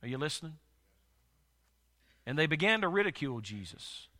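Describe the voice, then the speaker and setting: A male pastor preaching a sermon while congested, his voice nearly gone, swinging from soft to loud with slow, emphatic delivery. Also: a little high, very animated, in a large hall.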